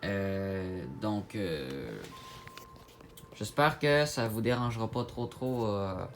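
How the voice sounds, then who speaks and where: A man with a Québécois French accent giving a casual tutorial to viewers, halting and repeating his words as he goes. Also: on the low side, soft background music. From Quebec, Canada. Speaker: gaming video creator. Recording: clear background noise, home-made recording.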